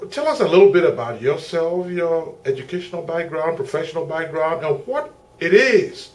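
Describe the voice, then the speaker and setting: A man's voice: on the high side, lively intonation, normal speed. A middle-aged man hosting an interview from a small makeshift studio, with expansive, chatty patter. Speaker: interviewer and show host. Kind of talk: community TV interview.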